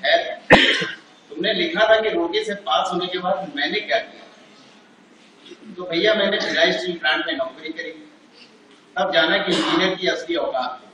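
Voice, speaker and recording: A man's voice: high voice, normal speed, long pauses, background noise. A man on stage reading out a wry, reminiscing reply letter about his working life, in an auditorium. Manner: wry, reminiscing, self-mocking. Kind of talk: stage play monologue. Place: large auditorium. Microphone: unamplified stage, far from the recorder. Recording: echoey hall from far off.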